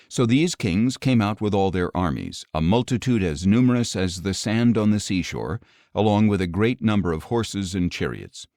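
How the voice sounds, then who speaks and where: A man narrating scripture as a scripted audiobook, reading in a measured, solemn manner. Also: deep voice, darkish tone, no echo, studio quality.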